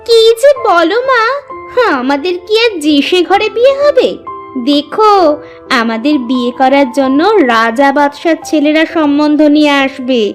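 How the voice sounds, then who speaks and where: Cartoon village sister played by a woman: young, spoiled, snappy, high-pitched and lively. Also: a little slow, soft background music. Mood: vain, defiant. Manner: haughty, whiny, dismissive. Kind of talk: animated moral-story cartoon dialogue.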